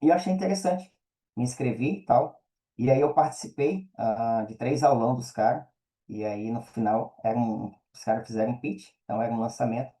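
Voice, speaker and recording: A man's voice: mid-range voice, fairly quick, mellow, low-quality recording. A man with a Brazilian accent, a podcast guest telling his own story casually in slangy, colloquial speech full of tag questions.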